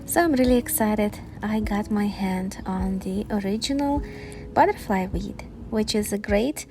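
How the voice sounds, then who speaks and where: Female gardening vlogger, native Ukrainian speaker with Ukrainian accent, unhurried delivery, enthusiastic, faint music underneath. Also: mid-range voice. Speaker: gardening video host. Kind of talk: informal explanatory vlog narration.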